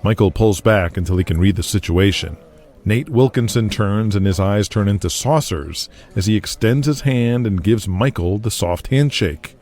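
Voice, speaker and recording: A man's voice: fairly low pitch, moderate pace, darkish tone. A man narrating an audio drama in a scripted, dramatic storytelling style.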